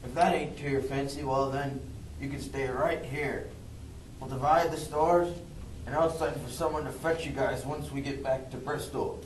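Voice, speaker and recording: A man's voice: mid-range voice, steady tone, normal speed, close to an everyday voice. A man playing a scheming, folksy pirate who bargains with menace in an amateur puppet show. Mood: bargaining, threatening. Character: scheming male pirate, smooth negotiator making threats. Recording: echoey room, distant mic, faint noise.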